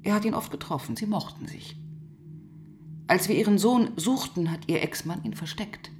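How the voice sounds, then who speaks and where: A woman playing a composed, probing police inspector who narrates and questions in a measured, matter-of-fact way in a radio crime drama. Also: fairly low pitch, expressive, a little mellow, slight background noise, lightly acted. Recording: studio radio drama with music.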